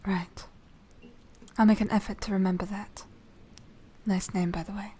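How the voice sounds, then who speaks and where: A woman playing a polite, secretive young noble vampire lady in a soft, half-whispered ASMR roleplay, speaking formally and with measured care. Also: mid-range voice, steady tone, close to an everyday voice. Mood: polite, slightly evasive. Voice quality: soft, breathy whispering edge. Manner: hushed, formal, measured, genteel.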